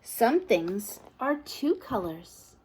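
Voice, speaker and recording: A woman's voice: medium pitch, big pitch swings, drawn-out words, a little mellow, a little hiss or hum, rough recording. A woman teacher reading a picture book aloud to toddlers, asking encouraging questions in a sing-song, exaggerated way.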